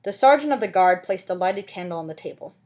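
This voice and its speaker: A woman's voice: fairly low pitch, expressive, fast talker, a little mellow. A woman reading a classic novel aloud as an amateur audiobook narrator, with dramatic scripted delivery.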